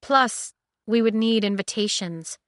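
A woman's voice: mid-range voice, unhurried, fairly bright tone, no echo, studio quality, natural voice. A woman voicing a guarded young heroine who speaks tersely and wryly. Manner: wry, terse, muttering.

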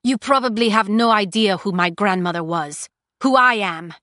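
A woman reading aloud, voicing a hoarse but defiant young woman prisoner. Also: medium pitch, moderate pace, pushed and bright, no room sound, clean studio sound, close to an everyday voice. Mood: defiant, raised.

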